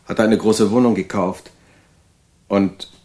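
A middle-aged mental patient looking back on his life in a radio play. The voice is fairly low, reflective and wandering, with a detached, faintly snobbish air. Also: fairly even intonation, slow, darkish tone. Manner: reflective, meandering. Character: reminiscing male asylum patient, middle-aged, snobbish, attached to mother. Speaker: actor in an audio drama. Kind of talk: monologue of recollection in audio drama.

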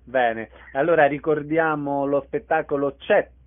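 A man's voice: fairly high pitch, steady tone, a little slow. A man working as a radio interviewer, putting short, prepared questions to a guest. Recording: narrow phone-like radio sound.

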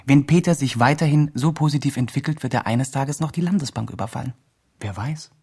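A man's voice: medium pitch, a little fast, darkish tone, breathy edge. An actor playing an earnest, patronising male blogger who speaks in encouraging therapy-speak, in a radio drama. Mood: earnest, reflective. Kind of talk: radio drama, dialogue and reflective narration.

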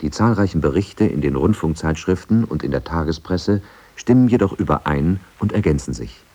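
A man narrates a scripted radio documentary in a low voice with a husky grain. His delivery is measured and factual. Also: animated, warm tone.

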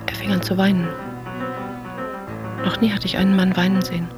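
An actress playing an introspective, weary woman lost in memories, speaking a poetic, often hushed monologue in a radio drama. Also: medium pitch, little pitch movement, brisk, close to an everyday voice. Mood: brooding, hushed. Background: music.

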